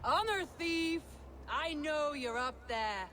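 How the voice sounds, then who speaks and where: A woman's voice: high voice, lively intonation, takes it slowly, fairly bright tone, slight background noise, heavily acted. A woman playing a proud, battle-hardened Viking warlord in a video game, speaking in a stately, solemn way. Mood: defiant, calling out. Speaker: game character. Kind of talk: dramatic video game acting.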